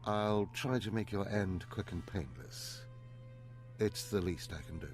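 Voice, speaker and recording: A stern, dignified mentor of the Sith played by a man in a video game. His voice is fairly low and very slow, formal and resigned, with music faintly behind it. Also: darkish tone, natural voice. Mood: grave, resigned. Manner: solemn, measured, formal. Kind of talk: scripted video game voice acting.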